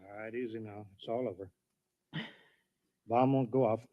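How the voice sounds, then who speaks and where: An actor playing a tense, commanding control-room chief in a 1950s radio drama, speaking in an urgent, earnest, clipped way. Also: male voice, lowish voice, fairly even intonation, normal speed, natural voice. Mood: grave, subdued. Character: tense, commanding man running a test-flight control room. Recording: muffled vintage radio recording.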